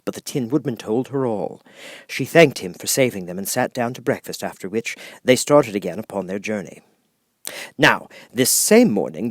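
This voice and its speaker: A man reading a children's audiobook as narrator, with expressive, dramatic storytelling. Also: lowish voice, dry recording.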